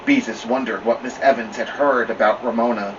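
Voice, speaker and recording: A man's voice: medium pitch, fairly quick, distant mic. A man narrating a children's book as a storytime reader. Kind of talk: children's audiobook-style narration. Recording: muffled, off-mic, steady room noise.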